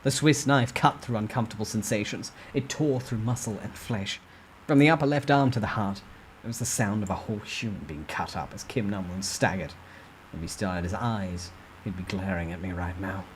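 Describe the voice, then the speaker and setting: A man's voice: lowish voice, moderate pace, a little hiss or hum, rough recording. A man giving a dramatic first-person storytime reading of a novel. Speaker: narrator reading a novel aloud.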